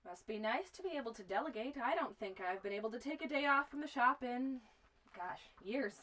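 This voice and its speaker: A woman's voice: a little high, lively intonation, a little fast, bright, forceful tone, low-quality recording. An actress playing a friendly, talkative young woman who keeps a remote frontier store, with a folksy manner. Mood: friendly, chatty. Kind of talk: amateur western film acting.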